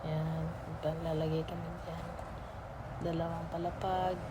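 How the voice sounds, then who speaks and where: A woman's voice: low voice, level tone, a little slow, very noisy. A Filipino woman narrating a casual vlog, speaking politely and informally and mixing in English phrases. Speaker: vlogger.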